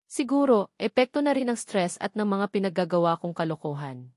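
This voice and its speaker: Brief: woman, mid-pitched voice, expressive first-person story narrator, dry close mic. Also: a little fast, no room sound.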